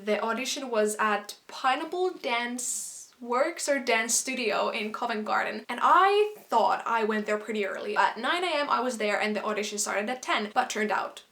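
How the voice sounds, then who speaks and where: A young woman from Finland, a native Finnish speaker, chatting casually to camera as a vlogger in an animated storytime style. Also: on the high side. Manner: chatty and animated, quick asides and quoted exclamations.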